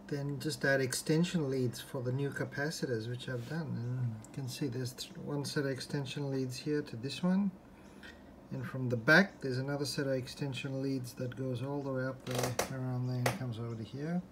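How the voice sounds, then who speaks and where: A man with a medium-pitched voice talks through a hobby repair in an informal, unscripted way. He explains as he goes, somewhat hesitantly, thinking aloud. It is recorded on a handheld phone indoors, with faint noise in the background. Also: fairly even intonation, normal speed. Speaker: hobbyist restorer presenting a repair. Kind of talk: informal unscripted workbench walkthrough. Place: indoors at a desk in a room. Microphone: handheld phone or camera, behind the lens.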